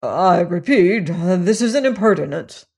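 A reader voicing a pompous, self-righteous older clergyman who lectures in a loud, preachy way. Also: mid-range voice, lively intonation, unhurried, vocal fry, slightly rough, exaggerated voice. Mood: indignant. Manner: sanctimonious, lecturing, rising to indignant.

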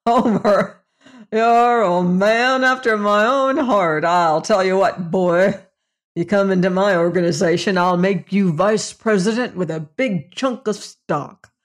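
A woman reading aloud and voicing a brash, lecherous older male company boss in a loud, hearty, backslapping manner. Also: medium pitch, slow pace, close to an everyday voice.

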